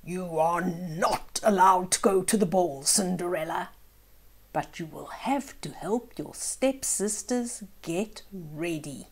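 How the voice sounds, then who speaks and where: Casting: wicked storybook stepmother, woman reader, cold, firm, measured and low-key in pitch. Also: breathy edge, exaggerated voice. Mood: stern. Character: cruel, stern stepmother laying down rules. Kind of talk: children's storybook read-aloud.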